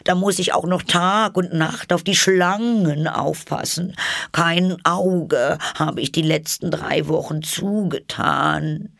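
A frantic mother pigeon from a storybook, acted out by a man, pitched far up high. The bird screeches and scolds in an aggrieved, put-upon way. The sound is close and dry. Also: takes it slowly, vocal fry, a bit bright, no echo, heavily acted. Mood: aggrieved lament. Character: frantic, scolding female pigeon guarding her eggs. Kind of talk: storybook character voice.

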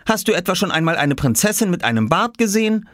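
Storybook big brother, small boy, played by a man: high, animated, bossy, dead serious about his games. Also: quick pace, no room sound, studio quality. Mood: earnest. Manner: commanding, self-important. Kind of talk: children's storybook character voice.

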